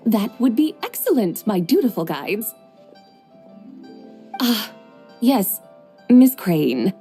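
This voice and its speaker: A woman's voice: mid-range voice, big pitch swings, slow, warm tone, soft background music. A woman voicing a drowsy, formal mystic woman with grand, old-fashioned manners in a game cutscene. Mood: stately.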